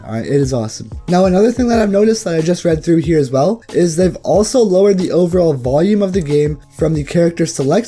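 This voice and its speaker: A man's voice: on the high side, warm tone, slightly rough. A young man who is sick with the flu, casually talking through a game update for his viewers and calling them boys. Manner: chatty, addresses viewers as boys. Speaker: gaming YouTuber. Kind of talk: casual gaming update commentary.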